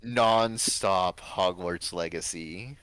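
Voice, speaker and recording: A man chats informally as a stream co-host. His voice is smooth and somewhat low, and he takes his time getting the words out. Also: slow pace, fairly bright tone, no echo, poor audio. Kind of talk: casual chat.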